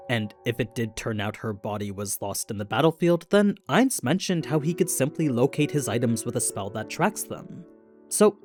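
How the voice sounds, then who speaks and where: A man's voice: medium pitch, darkish tone. A man reading scripted explainer narration as the narrator of an anime lore video.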